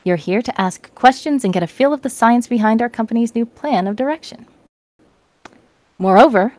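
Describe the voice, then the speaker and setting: A woman's voice: medium pitch, darkish tone, no room sound, lightly acted. A woman voicing a smug, theatrical man hosting a mystery party in a story.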